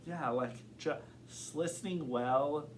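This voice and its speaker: A young man who stutters talks slowly and carefully, repeating words and leaning on fillers as he works through his thoughts. Faint background hiss sits under his voice. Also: on the high side. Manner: thoughtful, open. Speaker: interviewee. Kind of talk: casual interview.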